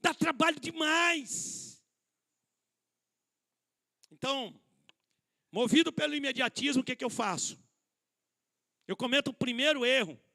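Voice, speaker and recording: A man's voice: very high voice, a little slow, creaky, pauses often, sharp tone. A middle-aged male pastor preaching a conversational sermon at a church conference, calling the listeners brothers, asking rhetorical questions and joking about himself. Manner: addresses listeners as brothers, rhetorical questions, self-deprecating humor. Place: church hall.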